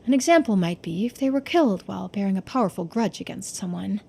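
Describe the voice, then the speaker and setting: A woman's voice: medium pitch, animated, fast, creaky, a little mellow, slightly rough. A woman voicing a long-lived, wistful keeper of mermaid lore in a game, explaining in a musing way.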